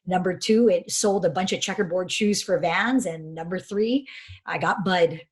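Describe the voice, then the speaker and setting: A woman's voice: on the low side, moderate pace. A woman in her fifties from Hawaii, a head soccer coach telling stories in a chatty, self-deprecating way with frequent laughs. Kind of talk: casual interview storytelling.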